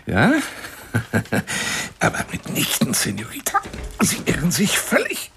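A man's voice: on the high side, huge pitch swings, slow pace, noisy background, full character voice. An actor playing a boastful, lonely male adventurer who courts a woman in florid, courtly speech in a German radio play monologue. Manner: florid, courtly, insistent, swinging between whisper and exclamation.

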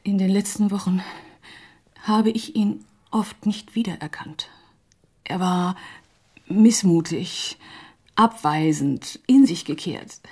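Husky, hesitant grieving woman. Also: medium pitch. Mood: troubled, confiding. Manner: hesitant, halting, hushed. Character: grieving, doubting woman confiding in a detective. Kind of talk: naturalistic radio drama acting.

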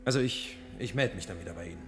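An actor playing a reserved, probing man making official inquiries in a radio play, his voice husky and creaky. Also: low voice, fast, background noise, close to an everyday voice. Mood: guarded. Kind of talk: German radio play acting.

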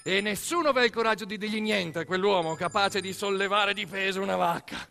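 Higher-voiced man telling a theatrical story. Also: quick pace, gravelly, pushed and bright. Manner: dramatic, short phrases, as a boy recalling family. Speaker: actor-narrator. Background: music.

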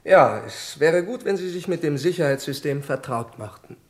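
An actor playing a courteous, confident intelligence security expert in a German radio crime drama, explaining things formally and matter-of-factly. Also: male voice, fairly high pitch, animated, normal speed.

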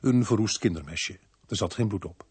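A man's voice: fairly low pitch, normal speed, darkish tone, slightly rough. An actor playing a dry, matter-of-fact male police inspector who questions and narrates in a radio play. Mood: dry, reflective. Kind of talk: Dutch radio play, dialogue and first-person narration.

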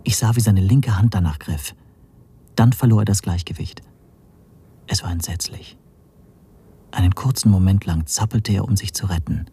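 An actor playing a weary, shaken man who narrates a German radio spy thriller in a measured, hushed, brooding manner. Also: deep voice, husky, heavily acted. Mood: tense, horrified. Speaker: first-person narrator and protagonist.

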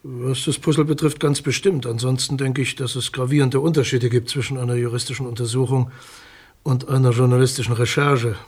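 An actor playing a formal, guarded public prosecutor in a German radio crime drama, courteous, bureaucratic and a little hesitant. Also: male voice, mid-range voice, steady tone, fairly quick, darkish tone, slightly rough, natural voice. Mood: reserved.

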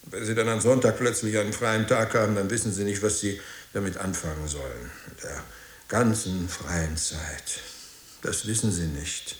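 An actor playing a weary, guarded pastor in a radio drama, curt and defensive, with hesitant moments. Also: male voice, lowish voice, moderate pace, reverberant, close to an everyday voice. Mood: subdued, guarded. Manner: curt, brusque, questioning, hesitant at times.

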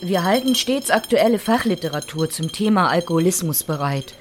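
A woman's voice: fairly low pitch, animated, brisk, background noise, lightly acted. An actress playing a dry, matter-of-fact female care worker in radio drama dialogue.

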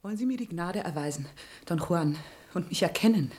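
A woman's voice: lowish voice, expressive, brisk, warm tone, close to an everyday voice. An actress playing a proud, wronged noblewoman in a classical radio play, formal, theatrical and reproachful. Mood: wounded reproach.

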